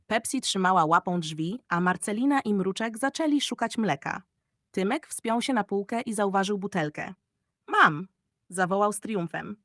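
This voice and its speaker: A woman narrates a children's audiobook with expressive storybook delivery. Her pitch sits in the middle for a female voice, and the recording is very dry, with no room around it. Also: dry recording.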